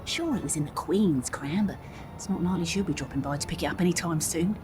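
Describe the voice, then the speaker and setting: A woman's voice: fairly low pitch, fast, over music, natural voice. A woman voicing a brash, plucky young English boat captain in a cartoon game, feisty and self-assured. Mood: nervy resolve. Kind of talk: scripted cartoon game acting.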